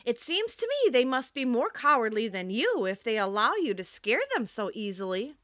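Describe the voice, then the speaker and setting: A woman's voice: fairly high pitch, very animated, moderate pace, a bit bright, dry recording. A woman reading aloud and voicing a young girl who is earnest and kind.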